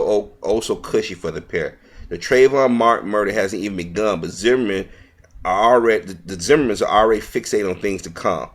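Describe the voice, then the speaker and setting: A man reading news aloud as an online commentator, stumbling over words here and there and speaking in an earnest, opinionated way. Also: on the low side.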